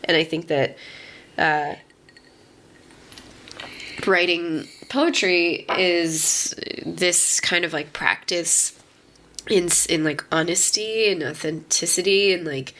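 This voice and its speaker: A woman in her mid-thirties chatting casually as a podcast host, musing in a halting way and often saying "like". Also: fairly low pitch, a bit bright, slight background noise. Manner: halting, filler-heavy musing with frequent "like". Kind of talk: casual conversation.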